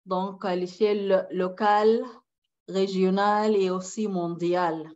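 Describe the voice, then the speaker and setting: A woman's voice: mid-range voice, steady tone, measured pace, darkish tone, dull audio. A middle-aged woman from Sudan speaking as a guest on a video call, emphatic and repeating her key phrases. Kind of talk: conference talk. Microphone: webcam on a video call.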